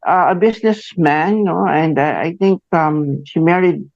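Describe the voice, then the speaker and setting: A Filipino man in his forties hosting a radio interview, speaking haltingly with many fillers and a tagged "no?". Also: on the high side, creaky, darkish tone, no room sound. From Philippines. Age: 40s. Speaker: radio host. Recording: muffled dry radio studio sound.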